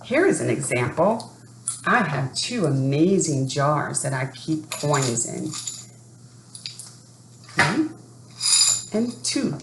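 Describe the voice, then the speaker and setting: A woman speaking deliberately and expressively as an educator doing a children's read-aloud for families. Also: low-pitched, big pitch swings. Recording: echoey room with faint background noise.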